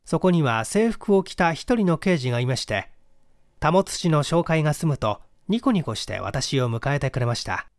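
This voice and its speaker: A man's voice: a little high, sing-song, normal speed, no echo, clean studio sound. A man reading a first-person mystery story as scripted narration, with careful, theatrical storytelling and acted dialogue. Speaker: audiobook reader.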